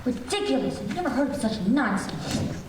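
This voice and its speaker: A woman's voice: sits high, expressive, moderate pace. A woman playing an anxious, demanding invalid wife on the telephone in an old-time radio drama, imperious and fussy. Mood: indignant. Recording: distant echoey hall, faint noise.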